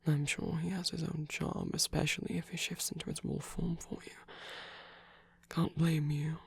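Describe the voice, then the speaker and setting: A performer voicing a gentle, slightly grumpy, possessive supernatural lover in an ASMR romance roleplay, speaking softly and tenderly with hesitant pauses and frequent whispering. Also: medium pitch, little pitch movement. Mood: reassuring, tender. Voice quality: soft, breathy, often whispered. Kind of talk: ASMR romance roleplay, intimate one-sided dialogue.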